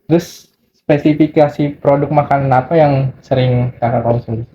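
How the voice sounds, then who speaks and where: A man's voice: mid-range voice, steady tone, normal speed, mellow. A man acting as interviewer, putting questions in a casual conversational style. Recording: muffled, noisy lo-fi recording.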